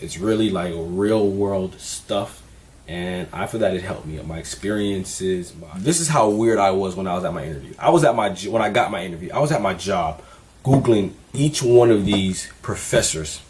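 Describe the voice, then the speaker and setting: A man in his twenties vlogging casually, candid and relaxed, with plenty of fillers as he talks through his experiences. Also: fairly low pitch. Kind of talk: casual vlog advice.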